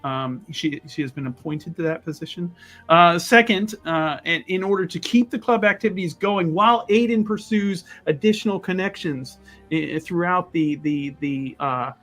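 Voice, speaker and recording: A man with a fairly high voice plays in a tabletop roleplaying session. He improvises his character's story in long, halting phrases and circles back on his words. Faint music plays behind him. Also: a bit bright. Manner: halting, repetitive, wordy.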